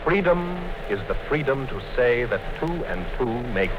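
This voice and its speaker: An actor in a 1950s radio play playing a calm, coldly menacing male interrogator who speaks in a measured way. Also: medium pitch. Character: cold, patient male party interrogator, middle-aged. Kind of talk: 1950s radio drama acting. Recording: dull 1950s radio broadcast with hiss.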